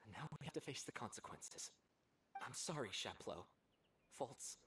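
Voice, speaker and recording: A man's voice: mid-range voice, lively intonation, normal speed, noisy background, natural voice. A man playing a resigned, repentant young man in an anime game, grave and restrained.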